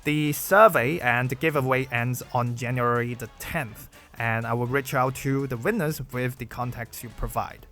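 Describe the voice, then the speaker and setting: A man's voice: mid-range voice, moderate pace, few pauses, a bit bright. Young man, Chinese accent, Mandarin native; scripted gaming-channel Q&A, careful delivery, faint backing music. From China. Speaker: gaming YouTuber. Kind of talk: read Q&A video narration.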